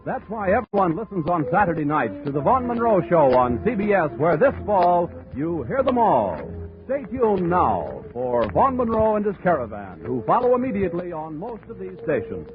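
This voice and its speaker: Vintage male announcer, fairly high, animated delivery, tinny old broadcast, music under. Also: moderate pace. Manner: polished, promotional delivery. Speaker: radio announcer. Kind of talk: 1940s radio announcing.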